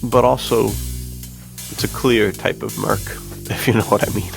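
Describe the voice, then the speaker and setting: A man's voice: on the low side, fairly even intonation, takes it slowly, creaky, faint music behind. A man co-hosting a podcast, talking casually in a laid-back, jokey way with informal slang. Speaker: podcast co-host. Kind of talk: casual film discussion.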